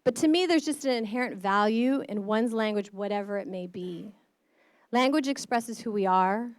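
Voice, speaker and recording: A woman's voice: mid-range voice, normal speed, no room sound. Middle-aged woman, earnest, passionate, conference speech, education board member, dry sound. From Midwestern United States.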